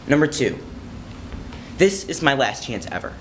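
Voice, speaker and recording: Self-important teen team captain, played by a man, emphatic delivery, medium pitch, slight background noise. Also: big pitch swings, measured pace. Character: earnest, self-important high school senior, math team captain. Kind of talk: theatrical monologue audition. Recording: faint room noise.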